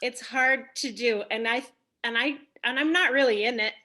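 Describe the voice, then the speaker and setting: Bright, high-voiced woman chatting. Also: normal speed. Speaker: voice actress, cast member. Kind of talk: casual chat.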